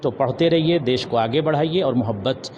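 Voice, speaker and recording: A man answering an interview in a measured, formal, preaching manner, pausing and mixing in English words. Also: mid-range voice, lo-fi sound. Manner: measured, formal Urdu-laced diction with pauses and some English words. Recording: noisy outdoor urban street recording with traffic. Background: loud street ambience and vehicles.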